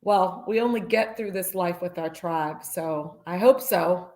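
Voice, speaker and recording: A woman's voice: on the low side, a little slow, darkish tone. This is an upbeat woman joining a show as a guest, chatting casually. She laughs easily while she talks. Her voice comes through a video call mic. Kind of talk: casual interview chat.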